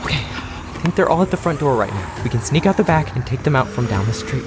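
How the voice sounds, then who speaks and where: An actor playing a loyal, caring and determined survivor in a post-apocalyptic audio drama, speaking earnestly and supportively. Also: male voice, medium pitch, sing-song, quick pace, background noise, lightly acted. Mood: urgent.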